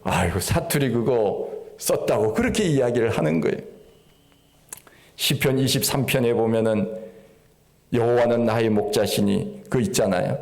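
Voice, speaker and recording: A middle-aged pastor from Korea's Gangwon Province with a slight Gangwon accent, preaching a sermon in a folksy, storytelling way with rising emphatic peaks. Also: male voice, medium pitch, moderate pace, a little mellow.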